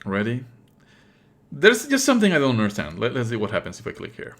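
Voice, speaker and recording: Male streamer, animated intonation, medium pitch, sardonic, exasperated, casual swearing, live let's play commentary. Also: fairly quick. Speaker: game streamer.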